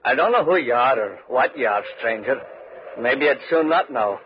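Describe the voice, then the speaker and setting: A man's voice: medium pitch, expressive, moderate pace, lightly acted. An actor playing a humble, uneasy tenant farmer who tells his tale in earnest, folksy 1940s radio style. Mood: pleading, reluctant. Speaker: narrator and lead character. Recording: muffled, noisy 1940s radio recording.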